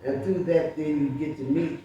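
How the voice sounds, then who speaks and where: An older woman from Chicago, a guest author, telling stories from her past in a lively interview. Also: sits low, a little fast, highs cut off, a little hiss or hum. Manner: storytelling, lively recollection. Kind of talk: reminiscing interview talk. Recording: echoey room, distant mic.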